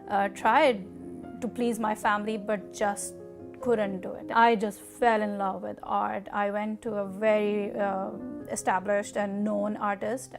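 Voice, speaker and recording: A middle-aged woman with a Pakistani accent speaks in a mid-pitched voice with audible creak. She recounts personal experience in a measured, deliberate interview style, over faint music. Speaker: artist interviewee.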